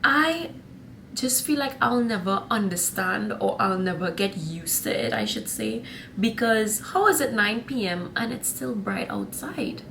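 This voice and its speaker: A woman's voice: mid-range voice, big pitch swings, a little slow, gravelly, a bit bright, slight background noise. A young woman with a Trinidadian accent chatting to camera in a rambling, sassy vlog style, calling her viewers honey and y'all. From Trinidad and Tobago. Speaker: vlogger. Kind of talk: casual chat to camera.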